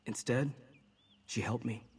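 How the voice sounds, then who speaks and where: An actor playing an earnest, steady male mage in a fantasy game, explaining things calmly to companions. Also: mid-range voice, unhurried, breathy edge. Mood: earnest, reassuring.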